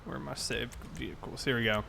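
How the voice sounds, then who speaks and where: A man's voice: mid-range voice, fairly even intonation, fast, creaky, background noise. A man hosting a casual game livestream, thinking aloud and talking informally to his viewers. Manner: informal, thinking aloud while reading chat. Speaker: live streamer, host.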